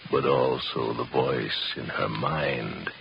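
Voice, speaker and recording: A man playing an ominous, menacing narrator in a 1930s radio horror drama, with a gravelly voice, on an old radio recording. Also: very deep voice, slow, dull audio, background noise, full character voice.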